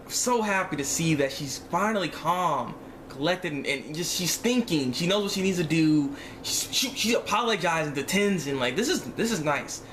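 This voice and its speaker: Higher-voiced man chatting through a review. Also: animated, normal speed, fairly bright tone, slight background noise, rough recording. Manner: repetitive, slangy, acts out remarks in reaction. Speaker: reviewer of an animated series episode. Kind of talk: casual spoken review, informal chat to viewers.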